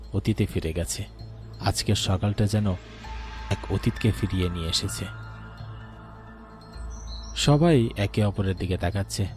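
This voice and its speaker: Low-voiced male horror story narrator. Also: darkish tone. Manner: suspenseful. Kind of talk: dramatic scripted horror narration.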